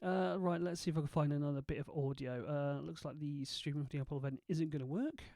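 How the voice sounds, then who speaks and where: A man hosting a video, casually thinking aloud as he tests software and mutters asides to himself. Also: fairly high pitch, fast talker, creaky, no echo, studio quality. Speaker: video host testing software.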